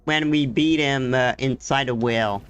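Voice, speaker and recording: A man's voice: medium pitch, a little slow, a bit bright, muffled recording, slight background noise. A man giving informal, off-the-cuff reaction commentary as he plays a video game. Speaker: gaming streamer. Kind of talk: casual reaction commentary over gameplay. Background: game music.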